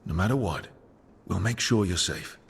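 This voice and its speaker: Steady male game hero in reverb. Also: low-pitched, normal speed, gravelly, husky, exaggerated voice. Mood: reassuring. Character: steady, supportive male team leader, wry. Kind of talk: video game dialogue. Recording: long reverb, faint music.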